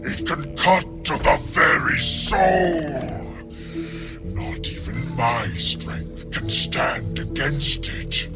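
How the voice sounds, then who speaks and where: A mighty, brooding giant from an allegorical audio drama. He speaks with grave menace at a very drawn-out pace. His delivery swings widely in pitch, with music clearly playing underneath. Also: animated. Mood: grim scheming. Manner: slow, grave, ominous. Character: brooding tyrant giant of selfishness, dark and mighty.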